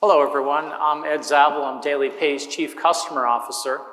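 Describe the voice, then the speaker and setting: A middle-aged man with a Boston accent speaks in a fairly high voice. He tells a story as a panelist at a conference, and the large hall rings around his words. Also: steady tone, brisk, fairly bright tone. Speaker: conference panelist, corporate executive. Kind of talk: storytelling to a live audience. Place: indoor conference hall, on stage.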